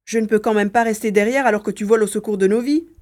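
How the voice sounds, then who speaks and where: An eager young boy, played by a woman, tells and lives his adventure in an audiobook drama. He is earnest and stubborn, very expressive, with a devoted streak. The pitch sits in an ordinary female range. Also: quick pace, close to an everyday voice. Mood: protesting, sulky. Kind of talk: dramatised audiobook, first-person narration and dialogue.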